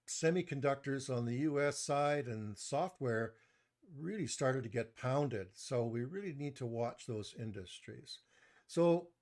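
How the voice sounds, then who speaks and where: A soft-spoken man giving a live online stock market review into a desktop mic, measured, with frequent pauses and restarts. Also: mid-range voice. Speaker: presenter of a stock market webinar. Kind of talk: live online presentation, reading chat comments.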